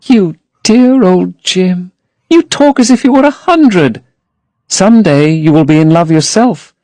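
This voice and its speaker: A man's voice: sits high, animated, unhurried, warm tone, no room sound, voice pushed up. A man reading aloud and voicing a young woman actress, dreamy and infatuated, who gushes rapturously. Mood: fond. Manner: rapturous, gushing.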